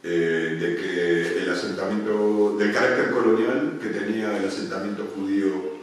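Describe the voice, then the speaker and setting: A man's voice: deep voice, steady tone, normal speed. A man, giving a hesitant public talk full of fillers at a union meeting. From Argentina. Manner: hesitant, many restarts and fillers. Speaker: panel speaker. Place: meeting room at a union headquarters. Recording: echoey room, speaker far from mic.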